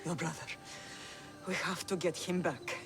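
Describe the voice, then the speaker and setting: A commanding middle-aged mother and island leader of ancient Greece, acted by a woman, speaks in a lowish voice. She is grave and firm, with old wounds under her authority. It is video game drama with faint music behind. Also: fairly even intonation, measured pace, natural voice. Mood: resolute. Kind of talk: video game cutscene acting.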